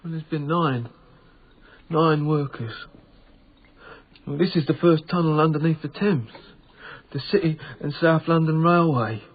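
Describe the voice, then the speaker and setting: A man's voice: fairly high pitch, a little slow, pauses often, husky. Meek Victorian night watchman, tinny sound. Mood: respectful, hesitant. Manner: formal, deferential, hesitant. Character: frightened, pious Victorian night watchman, deferential working man. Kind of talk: radio drama acting. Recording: tinny band-limited radio broadcast, noisy background.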